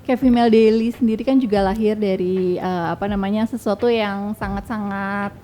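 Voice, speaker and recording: A woman's voice: medium pitch, steady tone, moderate pace, dark tone, faint music behind. A woman with an urban Jakarta accent giving casual explanations as an interview guest, informally mixing in English words. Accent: urban Jakarta Indonesian. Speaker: company leader, interview guest.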